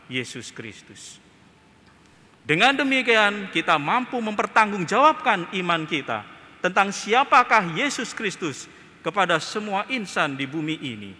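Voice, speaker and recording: A young man with an Indonesian accent, preaching a homily from a church lectern mic, slow and emphatic with rising and falling phrases. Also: high voice, pushed and bright. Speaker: priest. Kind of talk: homily at Mass. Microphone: gooseneck lectern mic. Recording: reverberant church.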